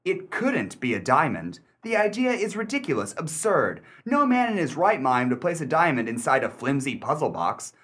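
A man acting as a shrewd, sardonic gentleman narrator in a mystery radio play, speaking with deliberate, theatrical emphasis and dry wit. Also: fairly high pitch, sing-song, husky, full character voice. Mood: amazed.

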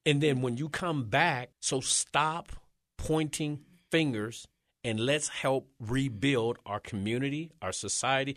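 A man who served time in prison, speaking with a deep, strong voice in a passionate, emphatic way about his experience and rebuilding community. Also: a bit bright, no room sound, clean studio sound. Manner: emphatic and preacherly. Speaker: formerly incarcerated speaker. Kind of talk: passionate advocacy talk.

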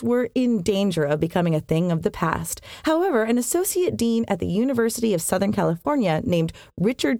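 A woman's voice: mid-range voice, darkish tone, no room sound. A woman hosting a podcast, reading scripted narration with wry asides into a close microphone. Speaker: podcast host. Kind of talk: scripted narration of science fiction history.

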